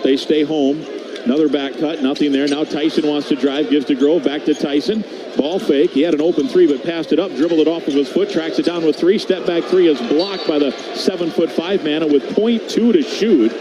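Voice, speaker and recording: A man's voice: fairly high pitch, dull audio. An American man doing live radio play-by-play basketball commentary from an arena booth. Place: broadcast booth in a sports arena. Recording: clear background noise from arena broadcast.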